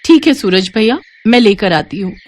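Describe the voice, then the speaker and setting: A woman's voice: mid-range voice, lively intonation, moderate pace, a little hiss or hum, close to an everyday voice. A woman voicing a devout, polite young working wife in a moral story. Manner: polite, earnest. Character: devout, hardworking, earnest young wife and shop worker.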